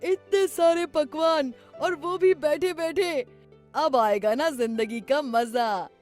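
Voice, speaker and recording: A woman voicing a lazy, excitable young man in a children's story, exclaiming and wishful. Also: mid-range voice, slow, faint music behind, lightly acted. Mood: amazed, delighted.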